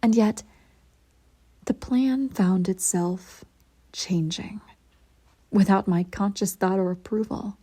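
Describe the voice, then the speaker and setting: A woman playing a centuries-old evil empress in an intimate audio roleplay, speaking formally and deliberately, cold and smugly possessive, sometimes dropping toward a whisper. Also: medium pitch, warm tone. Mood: confiding, imperious. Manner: formal, measured, arch diction with breathy near-whispered drops. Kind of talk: scripted audio roleplay monologue, intimate direct address.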